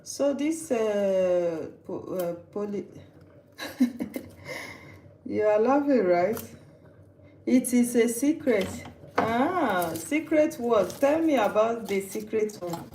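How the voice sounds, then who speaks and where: A woman's voice: mid-range voice, animated, takes it slowly, pauses often, dark tone. A Nigerian woman from Nigeria, hosting a live-stream cooking chat, teasing viewers and restarting her sentences. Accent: Nigerian English. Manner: chatty and teasing, with frequent restarts. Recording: echoey room with background noise.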